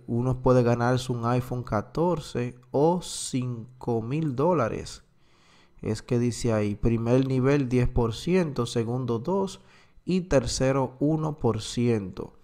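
Slow-talking man narrating a screen recording. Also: medium pitch, a little mellow. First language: Spanish. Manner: slow, filler-heavy explaining. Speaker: crypto platform reviewer.

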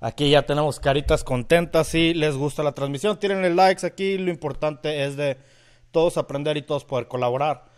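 A man's voice: a little high, fairly quick, clear, resonant tone. A Mexican man in his thirties with a northern Mexican accent, hosting a live mixing tutorial from his home studio and greeting viewers by name. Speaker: audio engineer, livestream host.

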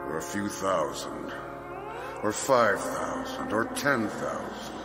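An actor playing a sly devil in a radio drama, suave and mocking in manner. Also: male voice, lowish voice, expressive, takes it slowly, music in the background. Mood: smooth mockery. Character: sly, leisurely male devil tempting a condemned man.

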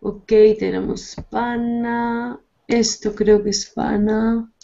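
A young woman with an accent from Spain, teaching a friendly online conversation class, encouraging and quick to laugh. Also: on the high side, flat delivery, gravelly, warm tone. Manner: bubbly, encouraging, laughs often. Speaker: Spanish teacher. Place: small room at home. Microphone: webcam video call. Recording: dull lo-fi video call audio.

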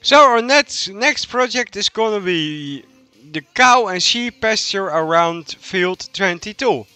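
Man, game streamer, rather high voice, lively pitch movement, casual banter. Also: slow pace, faint music behind. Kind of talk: casual banter while gaming on a livestream.

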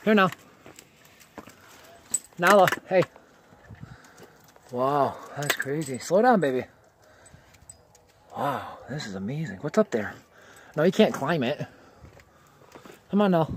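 A man's voice: a little high, very animated, slow, long pauses, background noise. A man vlogging outdoors on a rocky hike, chatting casually and calling to his dog in a raised, coaxing voice, a little out of breath from climbing. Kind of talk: casual running commentary while hiking. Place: outdoors on rocky canyon slopes. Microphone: handheld camera held while climbing.

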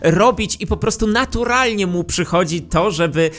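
A man's voice: high voice, moderate pace, a bit bright. A man in his thirties giving explanatory commentary as a host, speaking close to a studio microphone. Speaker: YouTube host and voice actor. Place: small indoor home studio. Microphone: close studio microphone with pop filter. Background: background music.

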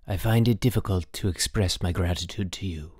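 A man's voice: low-pitched, a little mellow, slightly rough, no room sound, studio quality. Man playing a formal, courtly ambassador. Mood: solemn gratitude. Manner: formal, measured. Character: dignified, grateful, courtly foreign ambassador father. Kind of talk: audiobook character voice.

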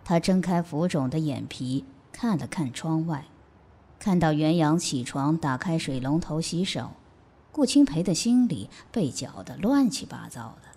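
A woman's voice: sits low, lively intonation, measured pace, warm tone, husky. A woman narrating an audio drama in a scripted, dramatic storytelling style.

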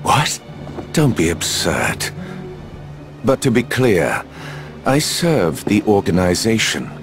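An actor playing a cool, suave, skeptical villain in a video game, speaking in a measured, dry, condescending way. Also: male voice, fairly low pitch, huge pitch swings, gravelly, over music, exaggerated voice. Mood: dismissive. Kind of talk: video game cutscene acting.

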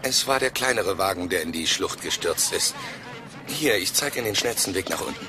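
An actor playing an eager, overly correct police sergeant, formal and deferential, in a German crime audio drama. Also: male voice, low voice, expressive, fairly quick, music in the background. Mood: dutiful. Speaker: detective sergeant.

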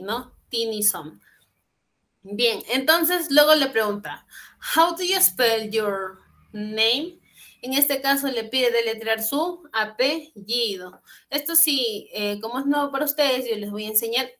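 A young woman teaching online, patient and unhurried, her voice bright and a little high. She moves between Spanish and English as she talks through each exercise, on a laptop mic from a small room at home. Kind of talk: online class explaining exercises. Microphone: laptop webcam on a video call.

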